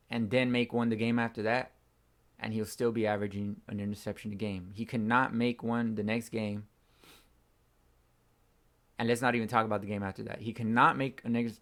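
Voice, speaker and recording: Hoarse, excitable young man. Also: fairly low pitch. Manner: excitable, slangy, says bro a lot. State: hoarse from screaming. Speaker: fan channel host. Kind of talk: casual post-game reaction.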